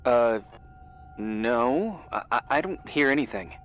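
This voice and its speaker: A man's voice: medium pitch, lively intonation, takes it slowly, a bit bright, faint music behind. An actor playing an awkward, earnest young man in a video game, speaking hesitantly and helpfully as a voice on a phone. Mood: gentle concern. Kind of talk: naturalistic video game voice acting.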